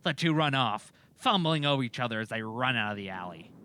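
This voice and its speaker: A man's voice: medium pitch, animated, a little fast, sharp tone, a little hiss or hum. A man narrating an audio drama as a scripted story, in a dramatic storytelling style.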